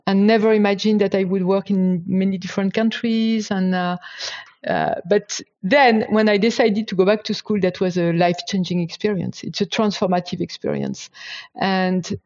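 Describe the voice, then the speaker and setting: A woman's voice: medium pitch, steady tone, moderate pace, a little mellow, muffled recording. A woman from France answering interview questions, hesitant, with many fillers and restarts. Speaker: guest.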